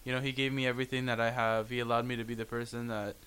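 A man's voice: mid-range voice, little pitch movement, fairly bright tone, clean tone. Earnest young male soccer coach. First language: Spanish. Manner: earnest, halting, repeats words. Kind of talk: interview guest.

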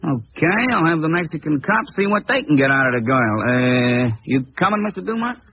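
Blustering, tinny-sounding radio police sergeant. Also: male voice, a little high, very animated, normal speed. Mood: probing. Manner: brusque, slangy, blustering. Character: gruff, impatient New York police sergeant. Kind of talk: 1940s radio acting. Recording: tinny old radio broadcast, faint hiss.

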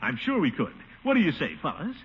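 An actor playing a pompous, blustery middle-aged water commissioner with a booming chuckle, in theatrical 1950s radio sitcom style. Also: male voice, wildly swooping pitch, fairly quick, husky, exaggerated voice. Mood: eager, self-satisfied. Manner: theatrical, swooping, grandiose delivery. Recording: muffled, faintly noisy old radio recording.

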